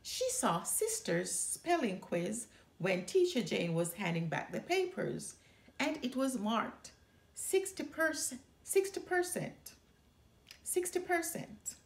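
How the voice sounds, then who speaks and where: A woman's voice: fairly high pitch, big pitch swings, takes it slowly, creaky, exaggerated voice. A woman voicing a gossipy young girl bear cub in an emphatic storybook read-aloud. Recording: roomy indoor echo.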